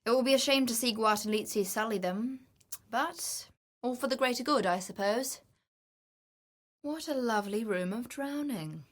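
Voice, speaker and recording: A woman playing a wry, adventurous treasure-seeking explorer in a video game, speaking in a measured, theatrical, knowing way. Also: a little high, natural voice. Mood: dry, musing. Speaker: game companion character. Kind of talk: scripted video game voice lines.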